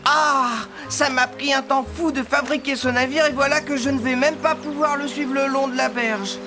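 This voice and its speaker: Bratty kid in a cartoon, high and bright, teasing, bit of music behind. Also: moderate pace. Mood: grumbling. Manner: taunting, excitable. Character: gleeful, mischievous young boy tormenting a beetle. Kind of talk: animated cartoon dubbing.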